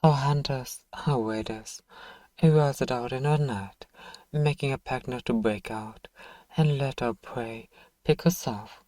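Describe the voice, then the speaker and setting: Man playing a slow, hushed, tender vampire lover. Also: mid-range voice, no echo, lightly acted. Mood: somber storytelling. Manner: gentle, hushed, slow, formal wording. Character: tender, centuries-old Viking-born vampire lover, soothing storyteller. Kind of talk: intimate ASMR roleplay storytelling. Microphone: close microphone, dry.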